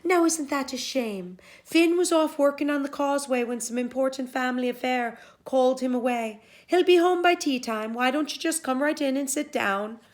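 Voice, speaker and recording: A sweet, composed wife in a folktale, played by a woman reader. The voice is raised high and coaxes gently, with a sly edge. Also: moderate pace, few pauses, natural voice. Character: gentle, sly, reassuring Irish giant's wife.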